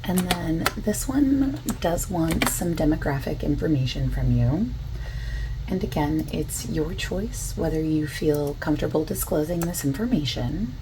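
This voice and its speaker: A soft-spoken woman doing an ASMR roleplay as a survey interviewer, asking questions in a gentle, casual, reassuring way. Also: low-pitched, expressive, unhurried. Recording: constant keyboard typing noise. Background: computer keyboard typing and clicking.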